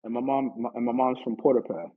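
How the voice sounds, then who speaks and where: A man with an American accent, talking casually as an interview guest, haltingly repeating phrases as he recounts his experience. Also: mid-range voice, breathy edge, phone-quality sound. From Orlando, Florida, United States. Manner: halting, repeats phrases, checks in with "you know". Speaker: podcast guest, martial arts instructor. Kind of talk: casual conversational storytelling.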